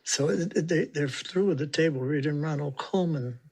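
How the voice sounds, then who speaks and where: A man with a husky voice, casually telling an anecdote as an interviewee. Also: on the high side, normal speed, a little mellow.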